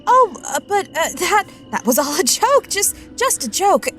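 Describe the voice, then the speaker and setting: Woman playing an anxious, high-voiced naive young lady. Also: animated, a little slow, soft background music. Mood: nervous, rattled. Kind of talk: immersive radio drama acting.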